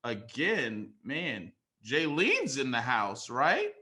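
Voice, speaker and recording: Young male emcee, hyped and casual, bright punchy voice. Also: medium pitch, wildly swooping pitch, slow pace. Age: young adult. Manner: enthusiastic, bursts of shouting and laughing, casual slang. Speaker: event host and teacher. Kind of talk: energetic off-the-cuff remarks.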